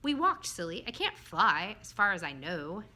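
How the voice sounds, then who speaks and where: A woman voicing a small, timid but excitable male creature in a children's story, earnest and childlike. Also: fairly low pitch, full character voice. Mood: excited, earnest. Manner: childlike, earnest, dramatic, halting when scared.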